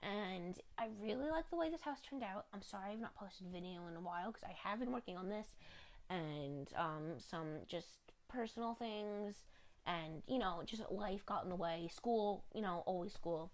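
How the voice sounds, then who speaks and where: A woman's voice: mid-range voice, expressive, normal speed. A woman with a slightly congested voice, a little sick, narrating a home-building game video in a rambling, apologetic, chatty way with lots of fillers.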